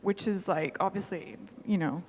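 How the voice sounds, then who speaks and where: A woman's voice: lowish voice, normal speed, a little mellow, slight background noise. A woman in her thirties chatting casually on a festival panel, self-mocking and full of fillers. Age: 30s. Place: festival talk hall. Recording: long hall reverb.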